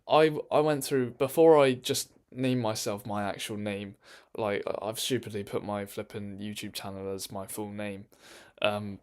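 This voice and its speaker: A man chatting casually on a live stream from his bedroom, rambling with lots of ums and restarts. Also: on the low side.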